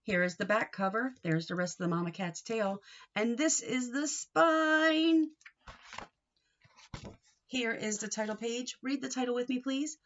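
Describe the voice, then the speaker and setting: A woman reading a picture book aloud to young children in an expressive, sing-song way, coaxing them with questions. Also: fairly high pitch, a little slow, dull audio.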